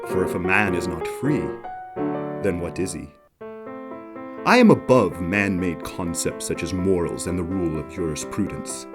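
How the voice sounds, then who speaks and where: A man playing an amoral, self-justifying innkeeper in an audio drama, with a theatrical, articulate, formal and wry delivery. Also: low-pitched. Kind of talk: dramatic first-person monologue narration in an audio drama.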